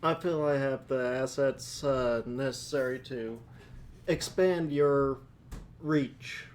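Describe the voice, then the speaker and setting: A man's voice: medium pitch, takes it slowly, a little hiss or hum, close to an everyday voice. A man playing a formal vampire negotiating a deal at a tabletop game, speaking in a stiff, polite way. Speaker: tabletop player.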